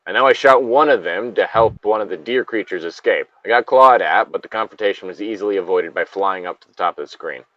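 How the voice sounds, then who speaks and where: A man's voice: on the low side, fairly quick, hardly any pauses, no echo, lo-fi sound. A man chatting casually with friends, swearing freely and prone to laughing. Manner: crude, sweary, given to laughing. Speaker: co-host of a group creepypasta reading. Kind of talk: casual banter with friends between read-aloud story passages.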